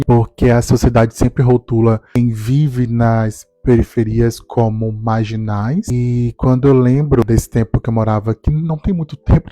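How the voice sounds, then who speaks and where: A man, telling his life story to camera in a calm, reflective way. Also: medium pitch, dry recording. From Salvador, Brazil. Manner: calm, reflective, measured delivery. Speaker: speech therapist and doctoral student. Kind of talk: personal testimony to camera.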